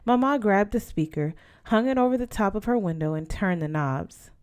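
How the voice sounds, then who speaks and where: A woman's voice: medium pitch, lively intonation, normal speed, darkish tone, clear, resonant tone. A woman reading a children's novel aloud as scripted narration. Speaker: audiobook reader.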